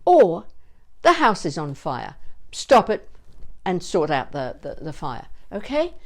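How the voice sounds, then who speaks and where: A woman with a slightly low voice teaches vocal exercises as a coach. She is encouraging and informal, with a playful streak in how she explains things. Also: huge pitch swings, slow pace. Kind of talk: instructional vocal warm-up lesson with demonstrations.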